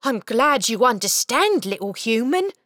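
A woman's voice: a little high, very animated, measured pace, fairly bright tone, no room sound, clean studio sound, heavily acted. A woman voicing a small, shy, cheerful male elf in a storybook, chatty and flustered.

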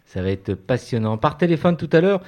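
A man's voice: fairly high pitch, big pitch swings, a little fast, darkish tone. A man hosting a French radio show, welcoming his team with frequent hesitations. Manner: hesitant, frequent "euh" fillers.

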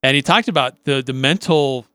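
A man's voice: medium pitch, normal speed, a bit bright, clean tone, dry recording, clean studio sound. A man with an American accent co-hosting a sports talk radio show, giving analysis and chat.

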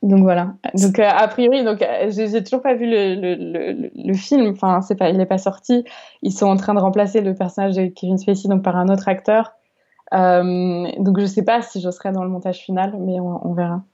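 Young woman, interview guest; halting, restart-prone delivery; warm low-energy timbre. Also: steady tone. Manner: hesitant, many fillers and restarts. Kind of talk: casual interview chat. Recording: dull lo-fi recording.

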